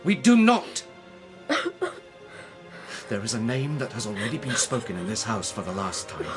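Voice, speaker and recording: A man's voice: mid-range voice, huge pitch swings, moderate pace, over music. An actor playing a stern, unforgiving father in a radio drama, speaking with grave, commanding emphasis. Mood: stern, forbidding. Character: stern, unforgiving father laying down law to his children.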